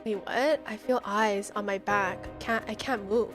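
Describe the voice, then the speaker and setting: A woman's voice: medium pitch, fairly even intonation, a little slow. A woman game streamer playing a horror game live, reading text aloud and reacting in a dramatic, slangy way. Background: game music.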